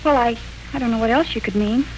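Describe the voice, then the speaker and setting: An actress playing a brisk, defensive, practical grown daughter in a 1940s radio drama. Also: female voice, on the high side, background noise, lightly acted.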